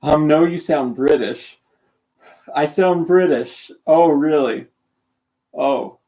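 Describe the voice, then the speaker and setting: A man's voice: fairly high pitch, slow pace, mellow, low-quality recording. A young man from Canada in his late teens, with a sore throat and half asleep, rambling through casual livestream chat and correcting himself as he goes. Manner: rambling, self-deprecating, many restarts. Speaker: streamer.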